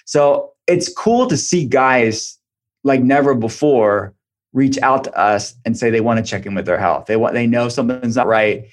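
A man's voice: mid-range voice, moderate pace. A man speaking as an expert podcast guest, explaining health matters in an informal, conversational way peppered with "like". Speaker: functional medicine doctor, podcast guest.

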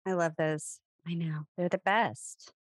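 A woman's voice: fairly low pitch, fairly even intonation, a little slow, no room sound, clean studio sound. A woman chatting casually with a friend and co-worker, rambling and breaking off her sentences. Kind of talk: casual chat.

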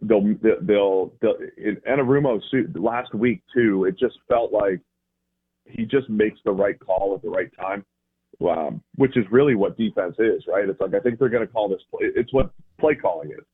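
A man's voice: fairly low pitch, moderate pace, slightly rough. An American man giving casual, conversational football analysis as a radio guest, often rephrasing as he explains. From Boston area, United States. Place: remote call into a radio show. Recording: thin phone-like guest line.

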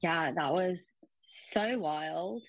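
Low-voiced woman casually sharing news stories. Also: very slow, rough recording. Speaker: fill-in news segment contributor. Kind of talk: casual news story chat.

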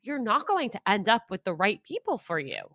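A young woman hosts a podcast in a frank, emphatic way, and her tone is bright and forceful. The sound is close and dry, with no room echo at all. She talks at an everyday pitch for a woman. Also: big pitch swings, normal speed. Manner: frank and emphatic, mocks bad advice. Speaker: podcast host. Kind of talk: casual interview chat.

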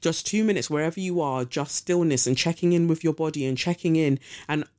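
A woman's voice: low-pitched, fairly quick, a little mellow. A woman hosting a podcast in a casual, rambling way, full of ums and the odd swear word. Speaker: podcast host. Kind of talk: casual spiritual podcast chat.

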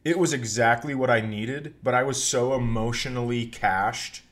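A middle-aged American man chatting casually as a podcast co-host, telling stories in an animated, playfully self-important comedic way. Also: mid-range voice, moderate pace.

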